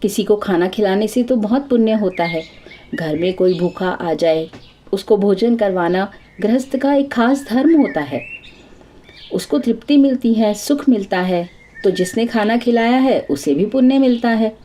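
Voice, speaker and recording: A kindly old mother-in-law from a family fable, played by a woman storyteller, with a mellow tone. She is pious and affectionate, explaining right and wrong like a household elder. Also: mid-range voice, measured pace, slight background noise, lightly acted. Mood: pious, instructive. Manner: moralizing, affectionate.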